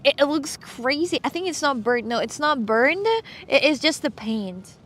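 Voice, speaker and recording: A woman from Russia, streaming casual chat on a street with her phone, excitable and playful. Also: high voice, normal speed.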